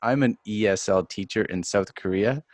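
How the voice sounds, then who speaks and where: Low-voiced man, dry close sound. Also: normal speed, dry recording. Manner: friendly, jokey, talkative. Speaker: podcast host. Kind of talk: casual interview chat.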